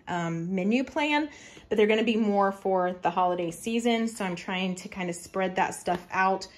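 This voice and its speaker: A middle-aged woman chatting casually through a grocery haul, explaining her family's meal plans in a homey way. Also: medium pitch. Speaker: family lifestyle vlogger.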